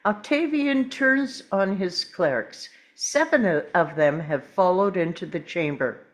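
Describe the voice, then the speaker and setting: Slow, measured woman reading stage directions. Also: on the low side. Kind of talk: scripted narration at a table read.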